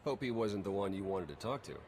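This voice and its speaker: A man's voice: lowish voice, expressive, fast, a little mellow, a little hiss or hum, lightly acted. An actor playing a wry, easygoing male spy in a game, with casual, dry delivery. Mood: dry, friendly.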